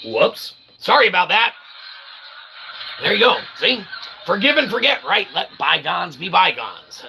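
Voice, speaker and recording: A man hosting a rambling livestream, speaking in a wordy, mock-grandiose, self-deprecating way with a gravelly voice. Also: high-pitched, very animated, a little slow. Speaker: livestream host. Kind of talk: rambling livestream monologue to chat. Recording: noticeable background noise.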